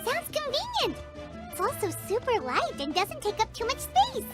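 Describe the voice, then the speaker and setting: A tiny fairy sidekick chirps in an extremely high, squeaky child's voice. She is bubbly and exclamatory, her pitch bouncing around over loud music in a cartoonish game style. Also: huge pitch swings, moderate pace, full character voice. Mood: excited. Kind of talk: scripted video game voice acting. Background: prominent playful music.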